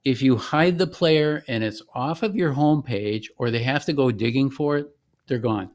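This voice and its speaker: A man presenting a software demo in an informal, conversational way, as the founder showing his product on screen. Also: medium pitch, normal speed. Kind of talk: informal screen-share demonstration.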